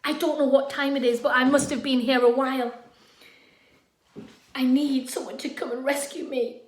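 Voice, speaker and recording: A woman's voice: high voice, fairly even intonation, normal speed, lightly acted. A woman voicing a scared, stranded girl in a children's story, pleading earnestly to be rescued. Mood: worried, scared. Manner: pleading, earnest, calling out loudly. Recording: echoey room.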